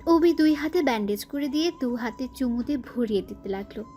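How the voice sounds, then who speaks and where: Casting: female narrator for scripted romantic fiction, high voice, faint music bed underneath. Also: expressive, a little fast, dark tone. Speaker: story narrator.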